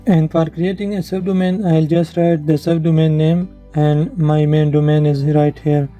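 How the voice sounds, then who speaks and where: Very dark-voiced man narrating a tutorial. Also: a little high, little pitch movement, moderate pace, dull audio. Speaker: tutorial presenter. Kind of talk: instructional screen-share narration. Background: background music.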